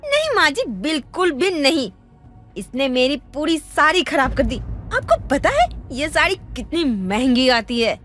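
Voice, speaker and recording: Woman as a haughty young daughter-in-law, high voice, animated pitch, confrontational, cartoon melodrama. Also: a little slow, faint music behind, full character voice. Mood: angry. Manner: scolding, confrontational. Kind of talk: melodramatic animated story dialogue.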